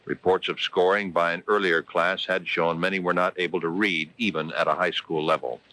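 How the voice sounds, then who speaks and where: A man's voice: low voice, fairly even intonation, a little fast, bright, forceful tone. An American man reading scripted news and weather on local radio in a formal, emphatic newscaster's delivery. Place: radio studio.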